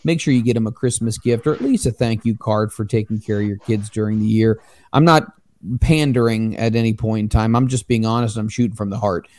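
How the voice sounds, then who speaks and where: A man hosting a casual wrestling podcast, loud, excitable and profane. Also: lowish voice, a little fast, dry recording. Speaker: podcast host.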